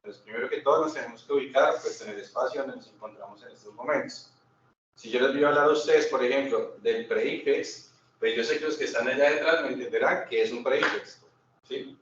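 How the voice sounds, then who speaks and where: A man's voice: medium pitch, a little fast, fairly bright tone. Colombian guy giving an informal seminar talk, way far from the mic, super echoey. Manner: conversational, checks in often with short tag questions. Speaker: seminar speaker. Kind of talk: informal presentation with questions to the audience. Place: indoor seminar room. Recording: very echoey room, distant muffled mic, faint noise.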